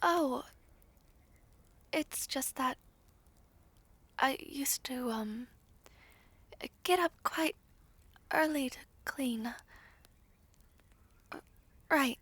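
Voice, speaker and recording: A woman playing a shy, humble young former maid in an audio roleplay, soft-spoken, hesitant and formally polite. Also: sits high, extremely slow, long pauses, breathy edge, no room sound, exaggerated voice. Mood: bashful, hesitant. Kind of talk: scripted audio roleplay acting.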